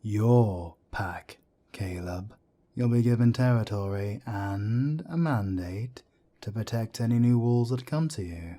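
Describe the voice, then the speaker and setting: A deep, husky-voiced werewolf elder played by a man in an audio drama. He is a wry mentor who talks slowly and deliberately, with a dark, mellow tone. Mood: grave, instructive. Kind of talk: audio roleplay drama acting.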